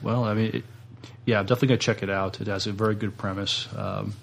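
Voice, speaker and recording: A man's voice: fairly low pitch, level tone, quick pace, gravelly, slight background noise. A man co-hosting a podcast in casual discussion, speaking hesitantly in short pieces. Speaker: podcast co-host.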